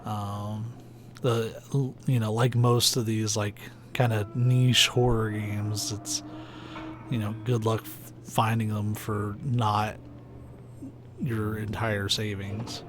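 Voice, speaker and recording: A young man with a soft, soothing voice, chatting casually and rambling while he plays a video game on stream. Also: lowish voice, slow pace, over music. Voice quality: soft, soothing, sleepy-sounding voice. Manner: rambling, laid-back, many "like"s and "uh"s. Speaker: let's play streamer. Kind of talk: casual commentary while gaming. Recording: steady background noise hiss.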